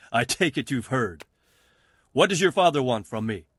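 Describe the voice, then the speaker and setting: A man's voice: medium pitch, lively intonation, measured pace, fairly bright tone, slightly rough, no room sound. A man acting a shrewd, resolute castle lord in a medieval video game. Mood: questioning.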